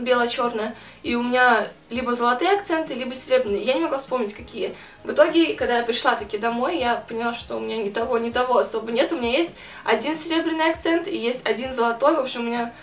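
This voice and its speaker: A woman's voice: on the high side, recorded from a distance. Chatty young woman in a roomy bedroom. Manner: chatty, animated, self-mocking asides. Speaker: beauty vlogger. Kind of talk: casual haul vlog. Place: bedroom with string lights, indoors.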